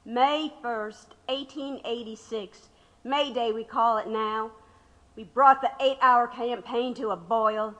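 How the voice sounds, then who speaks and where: A woman's voice: on the high side, fairly even intonation, slow pace, fairly bright tone. A woman acting in an audio drama as a proud, defiant labor activist under interrogation, speaking with impassioned storytelling. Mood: impassioned recounting. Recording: long hall reverb.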